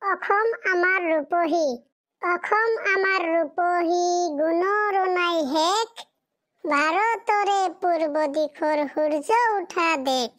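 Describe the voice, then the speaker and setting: Very high-pitched cartoon schoolchild. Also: slow pace, fairly bright tone, full character voice. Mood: reciting. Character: young schoolchild pupils, obedient, hesitant reciters. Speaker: pupil. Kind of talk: cartoon classroom recitation.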